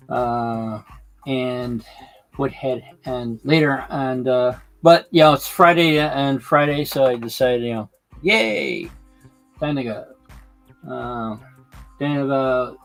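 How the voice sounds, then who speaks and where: A man live streaming his hobby, talking casually and haltingly while he works on a model layout, with lots of fillers and restarts. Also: mid-range voice, rough recording.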